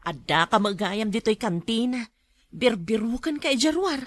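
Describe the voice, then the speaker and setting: A woman's voice: fairly high pitch, very animated, normal speed, dry recording. A woman acting in an Ilocano radio drama as a gossipy, meddling friend who poses as concerned, with an Ilocano Filipino accent. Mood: gossipy, goading. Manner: prying, insinuating.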